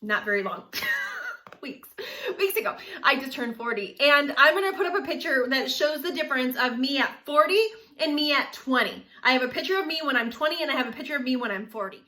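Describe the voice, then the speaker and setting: A woman of about forty chats straight to her viewers in a lively, animated way, often breaking into laughter. Her voice sits high and sounds bright and forward. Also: brisk. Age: 40s. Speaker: vlogger. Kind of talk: casual talk to camera.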